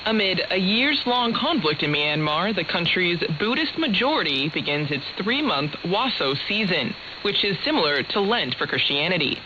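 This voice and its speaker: A woman's voice: lowish voice, very animated, moderate pace, hardly any pauses. A woman presenting radio news, reading scripted introductions to reports. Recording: muffled shortwave radio with clear static.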